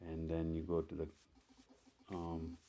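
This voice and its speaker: Slow, low-voiced man walking through phone steps. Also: completely flat delivery, warm tone, a little hiss or hum. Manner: step-by-step, unhurried, plain instructions with fillers. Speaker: tech tutorial host. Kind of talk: screen-recorded phone tutorial.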